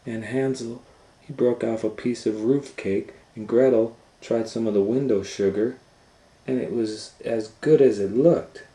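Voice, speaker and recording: A man reading a children's story aloud with dramatic delivery, swinging between hushed and loud. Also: medium pitch, fairly even intonation, a little slow. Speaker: storytime reader and host. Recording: roomy echo, faint background noise.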